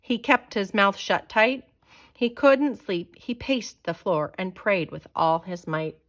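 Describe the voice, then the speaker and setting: Female storyteller, unhurried, dramatic children's read-aloud, mid-range voice. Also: medium pitch, expressive, a bit bright. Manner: dramatic, deliberate storytelling delivery. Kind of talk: expressive children's picture book read-aloud.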